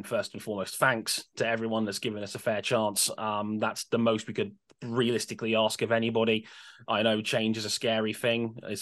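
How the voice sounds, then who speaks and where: A man's voice: lowish voice, fairly bright tone. A man hosting a podcast, talking casually in a wry, self-deprecating way and often repeating words as he starts. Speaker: podcast co-host. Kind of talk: casual chat.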